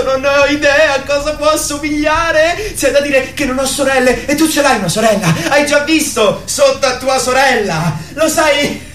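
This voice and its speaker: Excitable naive boy for radio play: very high voice, giddy rambling, roomy distant sound. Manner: giddy, repeats words, rambling. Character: excitable naive teenage boy, boastful and girl-curious, riding downhill. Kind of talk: theatrical radio drama monologue. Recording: roomy, distant, faint background noise.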